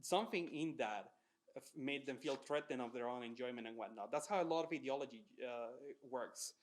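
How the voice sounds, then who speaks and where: A man giving analytical comments in a discussion, with academic wording and halting self-corrections. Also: mid-range voice. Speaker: panelist.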